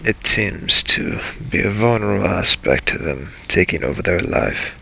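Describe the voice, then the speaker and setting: A man playing a brooding vampire adventurer, narrating his dark journey in a dramatic, halting, solemn way for a game role-play. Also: sits low, lively intonation, vocal fry, husky, highs cut off, exaggerated voice. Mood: grave, measured. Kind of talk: improvised in-character role-play narration over gameplay.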